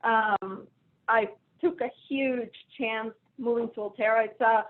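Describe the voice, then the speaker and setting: A woman's voice: on the high side, fairly even intonation, measured pace. A woman from Colombia with a Colombian Spanish accent, speaking as a guest in a casual remote interview, chatty and self-deprecating. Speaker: guest, finance executive. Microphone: video call. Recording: phone-like narrow audio over video call.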